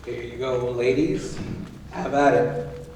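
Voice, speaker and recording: A man plays a brusque male prison guard giving orders in a mid-pitched voice. It is a staged reading, heard from far off in an echoing hall. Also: steady tone, slow, darkish tone, close to an everyday voice. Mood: commanding. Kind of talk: staged musical theatre reading. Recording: echoey hall, distant, faint noise.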